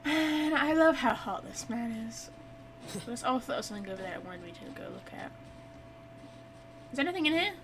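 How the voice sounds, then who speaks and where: A woman doing casual, reactive gameplay commentary as a streamer, blunt and excitable. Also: high voice, moderate pace, long pauses, background noise. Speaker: game streamer.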